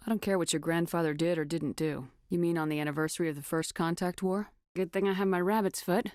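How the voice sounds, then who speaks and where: A woman's voice: low-pitched, a little mellow, no room sound, natural voice. A woman playing a tough, decisive military commander in a video game, with firm, dry, wry delivery. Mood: measured approval. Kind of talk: video game dialogue acting.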